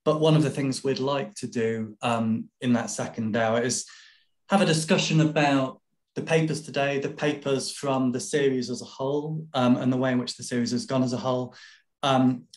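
A man in his forties informally chairing an online seminar over a video call, with hesitant pauses. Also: medium pitch, echoey room. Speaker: seminar host.

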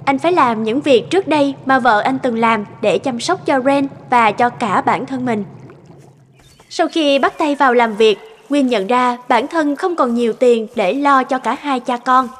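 High-voiced woman narrating a story. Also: unhurried, in a large hall. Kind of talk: scripted cartoon story narration.

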